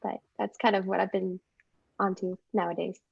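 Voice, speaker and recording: A woman with a squeaky voice chatting casually as a guest, giggly and hesitant. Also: level tone, normal speed, gravelly, slightly rough, dry recording, highs cut off. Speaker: guest, motion designer. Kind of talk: casual chat.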